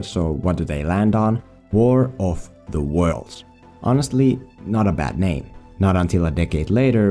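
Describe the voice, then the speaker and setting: A man's voice: sits low, dark tone. A man who is not a native English speaker, giving sarcastic, mock-dramatic scripted commentary as a film video host. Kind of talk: scripted comedic voice-over commentary.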